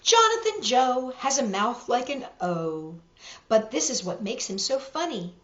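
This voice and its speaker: Woman, children's storytime host, high voice, playful read-aloud, roomy slightly dull recording. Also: very animated, unhurried, fairly bright tone. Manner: playful, addresses young viewers directly.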